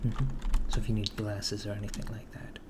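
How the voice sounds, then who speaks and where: A man playing a polite, bureaucratic recruiter for an evil corporation in an ASMR roleplay, soft-spoken and halting, often slipping into a whisper. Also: on the low side, flat delivery, close to an everyday voice. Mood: businesslike. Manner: hushed, halting, matter-of-fact. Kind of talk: ASMR roleplay, improvised job interview. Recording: clearly noisy close recording, keyboard typing. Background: computer keyboard typing.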